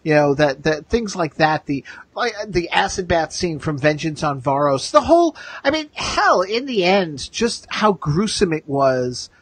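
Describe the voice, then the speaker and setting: Higher-pitched husky man chatting. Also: big pitch swings, measured pace, no room sound. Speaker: podcast co-host. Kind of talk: casual pop-culture discussion.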